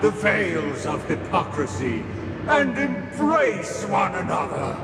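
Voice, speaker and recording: High-voiced, imperious mansion master. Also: male voice, sing-song, takes it slowly, heavily acted. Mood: righteous, commanding. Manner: formal, theatrical, pompous. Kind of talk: game cutscene stage oratory. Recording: echoey hall reverb, loud music.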